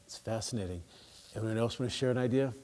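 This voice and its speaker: A man's voice: medium pitch, quick pace, darkish tone, in a large hall. A man leading a group discussion, affirming and paraphrasing what participants say. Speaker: discussion facilitator.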